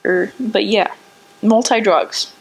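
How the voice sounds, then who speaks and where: A woman's voice: mid-range voice, takes it slowly, low-quality recording. A young woman co-hosting a casual educational podcast, reading out facts and tripping over hard drug names. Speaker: podcast co-host.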